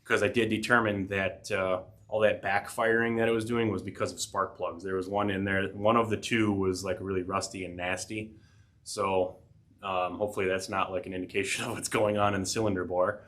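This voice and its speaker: A man's voice: sits low, flat delivery, fairly quick, a bit bright. A man hosting a DIY tech channel, talking casually through a repair with a head cold, rambling with fillers and dry asides. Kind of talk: casual DIY workshop narration. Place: home workshop.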